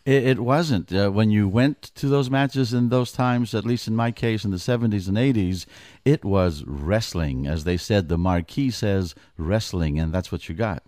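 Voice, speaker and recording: A man's voice: lowish voice, moderate pace, darkish tone, no echo. A middle-aged man from Greece, a wrestling commissioner, talking as a guest in a radio studio interview, earnest and prone to restarting his sentences.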